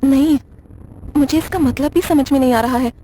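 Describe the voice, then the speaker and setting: A woman's voice: sits high, normal speed, dark tone, a little hiss or hum, lightly acted. A woman playing a nervous, apologetic young librarian in an audio drama, hesitant, stammering and defensive. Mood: confused, wary. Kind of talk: Hindi audio drama acting.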